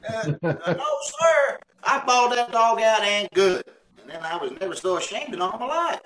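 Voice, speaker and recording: A man acts out a folksy radio-comedy braggart with a high-pitched voice. He blusters and stammers through his boasts. The sound is echoey and distant, with some noise of a video call. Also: lively intonation, normal speed, a bit bright. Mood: gleeful bragging. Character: folksy, boastful, bumbling husband spinning tall tales. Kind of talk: old-time radio comedy acting. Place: indoors on a live Zoom call.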